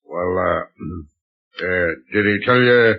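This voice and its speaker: A man playing a gruff, slangy space adventurer with an American accent in a 1930s radio serial, talking in halting, ungrammatical sentences. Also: low-pitched. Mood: gruff, casual. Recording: dull 1930s radio recording.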